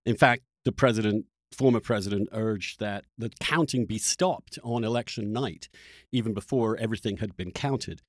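A man's voice: fairly low pitch, moderate pace, no echo. A man hosting a podcast debate, combative and insistent, pressing his point with pointed questions. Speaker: podcast host and interviewer. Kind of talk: heated political debate.

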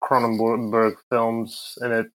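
A man's voice: mid-range voice, monotone, takes it slowly, a bit bright, low-quality recording. A man chatting casually as a podcast guest, giving his review in a conversational way.

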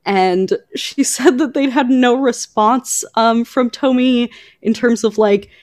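A woman co-hosting a podcast, talking through book news conversationally with hesitant pauses as she picks her words. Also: a little high, a little mellow, no echo, clean studio sound. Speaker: podcast co-host.

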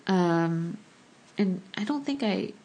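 A woman from the United States whose first language was Korean, talking in a relaxed conversation, thoughtful and full of small restarts and fillers. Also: medium pitch, takes it slowly, rough recording. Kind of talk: casual conversation.

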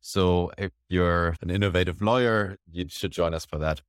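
A man's voice: low voice, fairly even intonation, normal speed, clean tone, no room sound, clean studio sound. A man hosting a podcast interview, enthusiastic and often tagging sentences with a question. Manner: enthusiastic, ends sentences with "right?". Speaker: podcast host.

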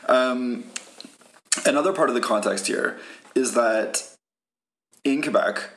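A man's voice: medium pitch, slow pace, fairly bright tone, low-quality recording. A man co-hosting a podcast, explaining political background casually with many "like"s and "um"s. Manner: explains at length, full of "like" and "um". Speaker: podcast co-host.

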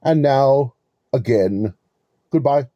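A man's voice: mid-range voice, animated, takes it slowly, dark tone, no room sound, dull audio. A man playing an articulate, condescending con man with formal, wordy courtesy in a two-person audio mystery play. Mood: calm, proud. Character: smug, articulate male con man posing as police lieutenant.

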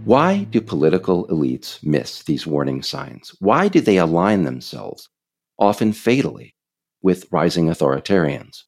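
An American man reading scripted political narration in a measured, emphatic, explanatory way. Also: sits low, very animated, darkish tone, soft background music. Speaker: author narrating own political audiobook.

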